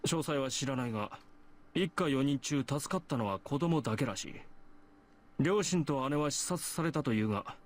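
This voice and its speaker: An actor playing a stern high school boy, a priest's son with formal, old-fashioned phrasing, in an anime visual novel. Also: lively intonation, quick pace, close to an everyday voice. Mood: serious. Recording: game music under the voice. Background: game music.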